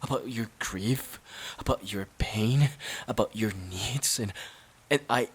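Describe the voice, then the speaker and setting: A low, husky male actor's take on a boyfriend at breaking point in an audio drama. He speaks haltingly and slowly, breathy and pleading, worn down by resentment, with rain behind him. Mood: exasperated, exhausted. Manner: halting, pleading, often dropping toward breathy near-whisper. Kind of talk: emotional audio drama acting.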